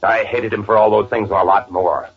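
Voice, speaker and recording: Man acting a very deep-voiced, bitter radio killer. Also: steady tone, natural voice. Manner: confessional, brooding, halting. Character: bitter, gloating murderer posing as his dead twin brother. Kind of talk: 1940s radio drama first-person narration. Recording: tinny vintage radio recording with music.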